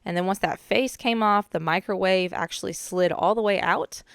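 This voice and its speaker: Slightly hoarse young woman. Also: mid-range voice, normal speed, no echo, studio quality. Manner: chatty, relaxed, with frequent "um" asides. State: a little hoarse from a cold. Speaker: DIY renovation vlogger. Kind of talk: casual voice-over narration of demolition footage.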